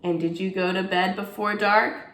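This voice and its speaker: A woman reading a storybook, voicing a calm, gentle mother, measured and kindly, in an echoey room. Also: mid-range voice, natural voice.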